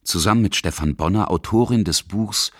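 A man's voice: sits low, moderate pace, slightly rough, no room sound, studio quality. A man reading scripted narration for a radio feature as its narrator. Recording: music under the voice. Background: music.

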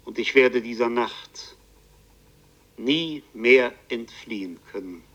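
A man's voice: medium pitch, fairly bright tone, dull audio. Haunted Parisian bookseller telling his tale. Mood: fatalistic, dramatic. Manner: literary, deliberate, intense. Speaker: actor narrating a radio play. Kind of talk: dramatic first-person radio play narration.

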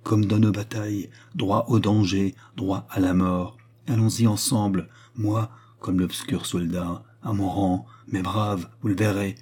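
A man's voice: lowish voice, steady tone, a little slow, hardly any pauses, darkish tone, slightly rough. A man voicing a grave, devoted nobleman in love with a queen, solemn and intense, in a dramatized historical novel. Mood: solemn devotion.

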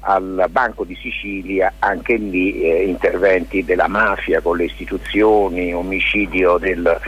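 A mature man speaks as a guest in a radio interview. His voice is deep and extremely husky, rough at the edges. He explains at length in an informal, discursive way, with many hesitations. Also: fairly even intonation, measured pace, highs cut off. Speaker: lawyer and theatre maker, interview guest.

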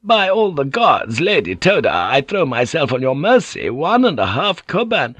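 A man's voice: fairly high pitch, huge pitch swings, normal speed, a bit bright, husky, dry recording, voice pushed up, heavily acted. A man voicing a scheming older woman who runs a teahouse, wailing and overly theatrical.

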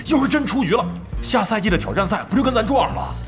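A man's voice: sits high, animated, fast, over music. A man playing a brash, nosy, somewhat sleazy gamer in an audio drama, effusive and slangy in his talk. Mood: curious, chummy.

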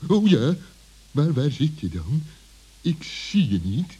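An excitable, gullible fox villain in a children's radio play. He has a husky, darkish voice on the high side, swooping theatrically and drawing out his exclamations at a slow pace. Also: highs cut off, heavily acted. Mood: alarmed.

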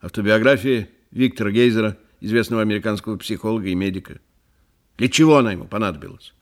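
An actor playing a businesslike police inspector in a radio play, speaking matter-of-factly. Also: male voice, lowish voice, fast, lightly acted. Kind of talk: dramatic radio play dialogue.

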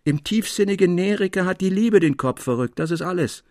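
A man's voice: sits high, lively intonation, a little fast, warm tone, dry recording, clean studio sound, pitched above the performer's own voice. A man voicing a sensible mine-owner father, brusque and reassuring, who dismisses superstition. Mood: dismissive.

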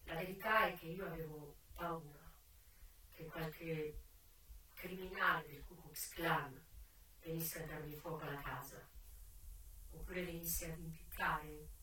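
An actress playing a proud, worldly American brothel madam, delivering a dramatic, emphatic theatre monologue with deliberate pauses. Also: female voice, sits low, level tone, bright, forceful tone, a little hiss or hum. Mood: proud, recollecting. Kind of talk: theatrical first-person monologue. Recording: echoey stage sound, distant mic, music behind.

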